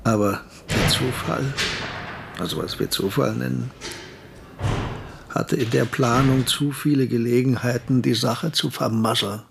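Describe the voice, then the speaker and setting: Reflective thinker, radio drama dialogue, creaky fry in a mid-range voice, explanatory and musing. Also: male voice, medium pitch, a little slow, noisy background. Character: reflective, philosophical man in conversation.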